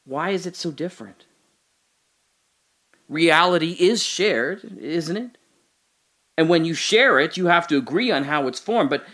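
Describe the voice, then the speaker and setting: A man's voice: fairly high pitch, a bit bright. An actor playing a man alone in a holding cell who talks through his doubts about his memories in an audio drama monologue, musing and questioning, turning from wry to earnest.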